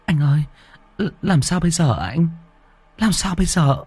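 A man's voice: on the high side, animated, normal speed, darkish tone, husky, no echo, faint music behind, voice pushed up. A man voicing a frightened village woman who pleads for help in a horror story. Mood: alarmed. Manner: alarmed, pleading.

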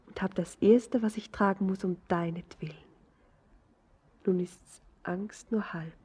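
An actress playing a devoted, anxious young woman in a poetic radio drama, breathy and tenderly imploring. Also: mid-range voice, darkish tone. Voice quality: breathy, half-whispered.

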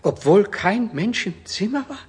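A fearful old manservant, very husky and quavering, with a voice that swoops about. He is stiffly deferential and given to nervous stammering, in a vintage children's radio play style. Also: high-pitched, expressive, unhurried, darkish tone, heavily acted. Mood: alarmed, formal. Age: older. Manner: deferential, pompous, stammering when frightened. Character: fearful, formal, superstitious old male manservant. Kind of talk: 1970s German children's radio play acting.

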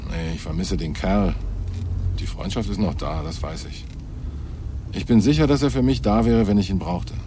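An actor in a radio drama playing a man who drives a woman home; he sounds calm and dryly ironic. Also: deep voice, normal speed, slight background noise, close to an everyday voice. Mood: calm, wry. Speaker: Andy, a friend driving Janice home.

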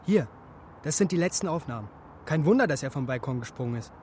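A man acting a brisk, businesslike forensic technician in a radio crime drama. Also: fairly high pitch, animated, a little mellow, slight background noise, lightly acted.

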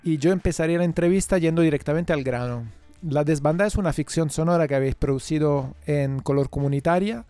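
A man's voice: fairly high pitch, fast talker, few pauses, mellow, smooth, dry recording, faint music behind. A man from outside Spain presenting and interviewing on the radio.

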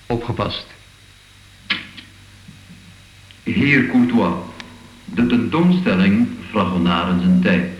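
An actor playing a sober, thoughtful art investigator in a radio drama, speaking in a measured, pondering way. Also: male voice, on the low side, expressive, dull audio. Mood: puzzled. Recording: echoey, faintly noisy old radio play.

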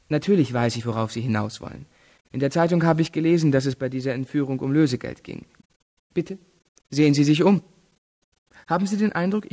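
Guy playing a snooty rich college kid, precise and evasive, middle pitch, big echoey room, radio drama. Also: dark tone, close to an everyday voice. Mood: haughty, irritated. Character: cool, arrogant, educated rich young male student suspect. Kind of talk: radio play acting. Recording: reverberant large room.

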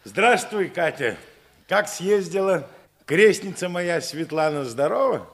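An actor playing an easygoing, wry army commissar in 1950s Soviet radio drama style, on a noisy old recording. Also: male voice, high voice, lively intonation, slow, vocal fry, a bit bright, exaggerated voice. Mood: warm. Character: easygoing, wry regimental commissar, teasingly obedient husband.

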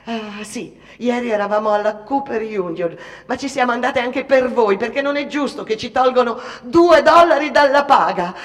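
A woman's voice: fairly high pitch, moderate pace, few pauses, big-room sound, voice pushed up, natural voice. A woman voicing a defiant young female factory worker, indignant and challenging, in a radio drama monologue.

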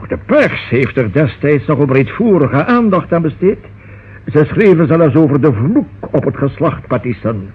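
Pompous notary, very husky, swooping. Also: male voice, a little high, very animated, normal speed, exaggerated voice. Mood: solemn, precise. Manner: formal, long-winded, pompous. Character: cautious, pedantic, formal male notary. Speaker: actor in a radio play. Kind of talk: Dutch radio drama acting. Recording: thin, muffled old radio recording.

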